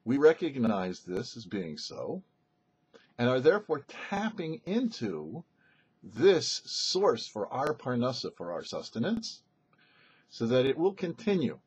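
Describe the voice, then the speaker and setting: Husky man teaching Torah, lively intonation. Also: mid-range voice, a little slow, dull audio. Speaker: rabbi presenting a weekly Torah portion show. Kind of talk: religious teaching, scripted-sounding Torah commentary.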